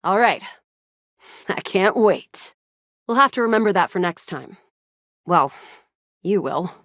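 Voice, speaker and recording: Lively, wry young-sounding game heroine. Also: female voice, medium pitch, takes it slowly, no echo, clean studio sound. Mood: wistful, determined. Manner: casual, wry, slangy. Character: feisty, sardonic sci-fi gamer heroine, loyal but guarded. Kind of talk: video game character acting.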